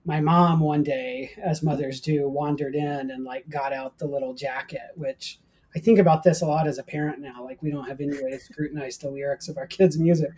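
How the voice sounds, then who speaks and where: An American man in middle life with a soft-edged, raspy voice. His tone is mellow and unforced as he tells personal stories in a casual interview, with a self-deprecating streak. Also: a little high, fairly even intonation, a little fast, poor audio. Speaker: guest, professor of ancient Christianity.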